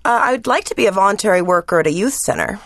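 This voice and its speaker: A woman's voice: medium pitch, expressive, quick pace, fairly bright tone, no echo. A woman playing a polite, hesitant young student applying for volunteer work in a scripted exam listening dialogue.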